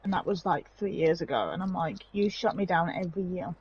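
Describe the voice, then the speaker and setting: Woman griping in detail, raspy highish voice, sounds muffled like she's off mic. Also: fairly quick, darkish tone. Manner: aggrieved, detailed complaining. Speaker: stream guest. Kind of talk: casual conversation.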